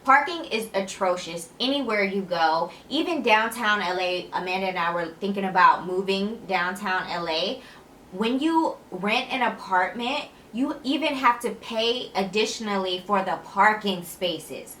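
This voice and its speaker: Bright, energetic woman vlogger, tired. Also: medium pitch, a little slow, a little hiss or hum. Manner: energetic, chatty, laughs often, sassy asides. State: tired, slightly raspy voice late at night. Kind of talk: casual chat to camera. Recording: echoey room.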